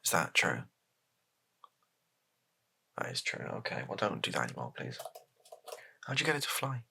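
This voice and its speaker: A man playing a flustered, placating political advisor in an improvised ASMR comedy roleplay, speaking softly in a hesitant, stammering, deadpan way. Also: low-pitched, normal speed, background noise, voice pushed up, full character voice. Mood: flustered, resigned. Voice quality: soft-spoken, gravelly, breathy. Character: flustered, placating male political spin doctor advising his boss.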